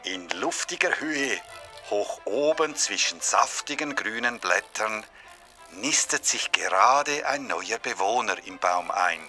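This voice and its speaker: A man voicing a hushed nature film narrator in a cartoon. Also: fairly low pitch, expressive, slow, over music.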